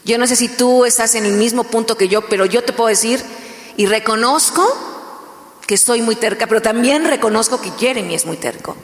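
A woman's voice: on the high side, moderate pace. A woman from Mexico with a Mexican accent, sharing personal stories in a chatty sermon. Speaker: pastor's wife. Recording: echoey hall with long reverb.

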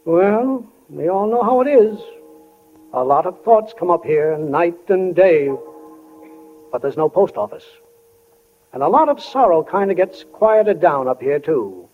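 An actor playing a folksy, reflective small-town storyteller in a 1940s radio play, musing and homespun. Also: male voice, sits high, expressive, faint music behind. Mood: wistful, musing. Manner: homespun, musing, unhurried, addresses listeners as friends. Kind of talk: 1940s radio acting, narration. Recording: tinny old 1940 radio broadcast.

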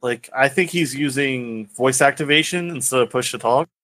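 A man's voice: mid-range voice, normal speed, a bit bright, no room sound. A man with a mid-range voice talks as a podcast co-host. He is blunt and matter-of-fact in casual conversation. The sound is dry, with little room to it. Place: recorded remotely over a voice chat app.

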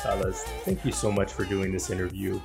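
Low, gravelly-voiced male podcast host. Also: steady tone, brisk, over music. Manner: friendly, hesitant, many fillers and restarts. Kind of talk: casual interview chat.

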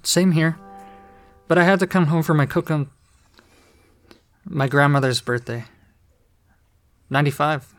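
A man's voice: on the high side, unhurried, pauses often, faint music behind, natural voice. A man playing a polite, easygoing young student in an audio drama, casual and unassuming. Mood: casual, guarded. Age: young adult. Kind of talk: naturalistic audio drama acting.

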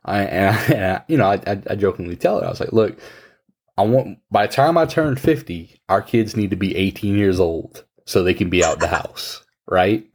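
A man's voice: low voice, normal speed, a little mellow, slightly rough. A man in his thirties in casual conversation, giving frank, blunt advice and swearing now and then. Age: 30s. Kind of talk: casual chat.

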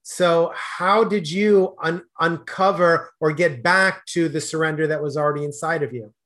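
A man's voice: on the high side, moderate pace, a bit bright. A man hosting a podcast, asking questions in an earnest, hesitant way with many fillers. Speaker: podcast host. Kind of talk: casual interview questions.

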